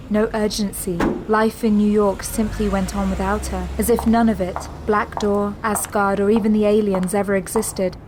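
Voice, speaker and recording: A woman narrator reading a scripted audio drama in a measured storytelling manner. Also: mid-range voice. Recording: clear background ambience noise.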